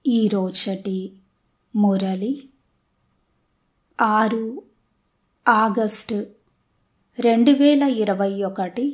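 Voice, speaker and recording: A woman reading scripture as a religious reader, in a measured, deliberate devotional style. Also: mid-range voice.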